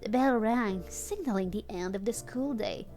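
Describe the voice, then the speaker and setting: A woman's voice: medium pitch, normal speed, dark tone, soft background music. A woman streamer doing a comedic live reading of fan fiction, chatting to her audience in asides, with a giggly manner. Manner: giggly, often breaking into laughter while reading.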